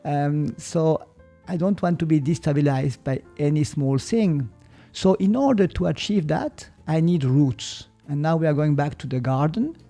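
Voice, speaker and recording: Man, Swiss German accent, gravelly voice, mellow tone, reflective podcast talk, faint music behind. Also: on the high side. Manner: slow, thoughtful, gets stuck on English words. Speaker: podcast guest, martial arts teacher.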